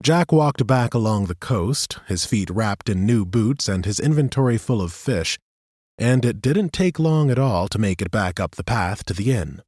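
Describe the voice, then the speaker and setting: Low-voiced male audiobook narrator. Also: animated, normal speed, a little mellow, no room sound, studio quality. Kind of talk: scripted fantasy audiobook narration.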